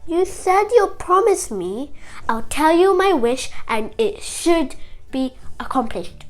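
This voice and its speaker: A woman's voice: sits high, big pitch swings, slow pace, soft background music, full character voice. A young female storyteller voicing a small, insistent, curious male frog who pleads in simple words.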